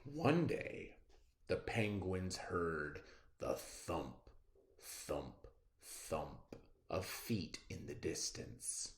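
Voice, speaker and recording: A reader narrating a children's story aloud in a theatrical, expressive style. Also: low-pitched, very slow, roomy sound.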